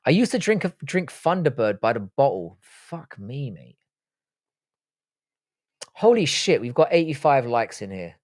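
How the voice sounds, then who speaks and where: A man's voice: medium pitch, big pitch swings, unhurried, pauses often, a little mellow, dry recording, studio quality. A young man, raised in the UK, hosting a live stream and answering viewer questions in blunt, profane, joking chat. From born in Ireland, grew up in the UK. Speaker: livestream host.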